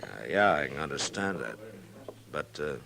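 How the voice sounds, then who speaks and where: An actor playing a stern, laconic frontier marshal in a measured 1950s radio western style. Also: male voice, a bass voice, lively intonation, gravelly, sharp tone, full character voice. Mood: grave, calm. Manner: measured, understated, firm. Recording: old radio drama, murmuring crowd behind.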